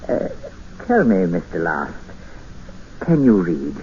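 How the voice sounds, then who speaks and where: A lonely old man in a vintage radio play, soft-spoken yet quietly menacing and possessive. His low voice rises and falls widely as he presses his point. The sound is muffled, with a light hiss behind it. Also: very animated, exaggerated voice. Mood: quietly insistent. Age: 60s. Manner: soft-spoken, insistent, drawn-out phrasing. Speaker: isolated jungle rancher. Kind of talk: 1950s radio drama acting.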